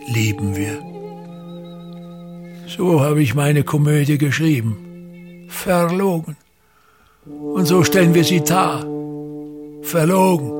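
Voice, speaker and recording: An actor playing a pompous, bitter, aging theatre maker who declaims contemptuous rants with sudden swings in a radio play. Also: male voice, on the high side, gravelly, heavily acted.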